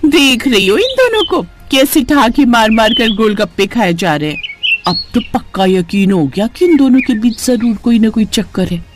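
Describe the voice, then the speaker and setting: A man's voice: high-pitched, big pitch swings, normal speed, noisy background. A man voicing gossiping, judgmental middle-aged neighbourhood women in a cartoon story, with an insinuating manner. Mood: scornful gossip. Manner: insinuating, disapproving. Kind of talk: cartoon story character acting.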